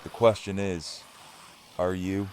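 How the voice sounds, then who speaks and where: A man's voice: low-pitched, level tone, slow pace, darkish tone. A man playing a steely, commanding leader of apocalypse survivors, firm and rallying, in an audio drama. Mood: firm, grave. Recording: clear background noise.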